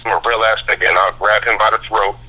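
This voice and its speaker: A man speaking as a guest on a talk radio show, heated and profane, telling his story fast and forcefully. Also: fairly low pitch, steady tone. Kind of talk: angry call-in radio talk. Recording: phone line.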